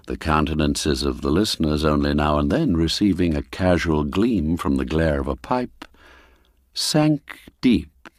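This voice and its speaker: A man narrating a classic ghost tale in a theatrical, expressive storytelling manner, dwelling on the darker words. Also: very deep voice, no echo, clean studio sound.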